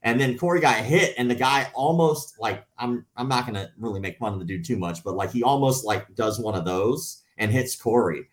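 Guy in his thirties on a podcast, tells stories all animated, acts out the lines, medium voice. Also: mid-range voice, normal speed, hardly any pauses. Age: 30s. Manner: animated storyteller, acts out quotes. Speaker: podcast co-host and striking coach. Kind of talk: casual chat.

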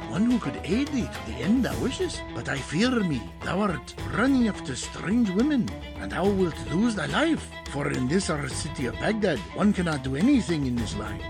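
Casting: man playing nosy, flattering old barber, ornate archaic talker, higher-pitched male voice, heavy music bed underneath. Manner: wordy, flowery, archaic speech. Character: talkative, meddlesome, ingratiating old male barber of Baghdad. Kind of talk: audio drama storytelling.

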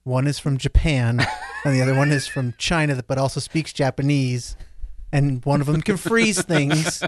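A man's voice: mid-range voice, moderate pace. A man chatting casually as a podcast panelist, with a joking, amused delivery. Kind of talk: casual chat.

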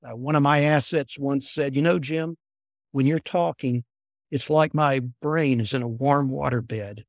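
An older man, a former intelligence officer, telling stories in a soft, unhurried voice as an interview guest. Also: medium pitch, fairly even intonation, vocal fry, dry recording, clean studio sound. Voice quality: soft-spoken. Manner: unhurried, repeats words when restarting. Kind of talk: conversational storytelling.

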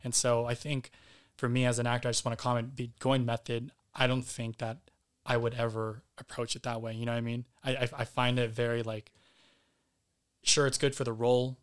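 A man's voice: mid-range voice, level tone, fairly quick, clean tone. A man, an actor and podcast guest, talking casually with many fillers and restarts.

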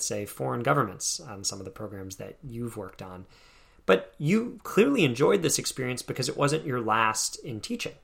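A male podcast host asks measured, reflective questions. His voice has a gravelly, creaky texture, and it sits at an ordinary pitch for a man. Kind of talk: interview questions.

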